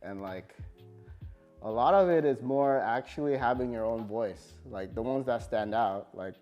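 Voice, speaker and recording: A man from California, a tattoo artist, talking casually in an interview with a relaxed, halting manner full of fillers. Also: medium pitch, dull audio, faint music behind.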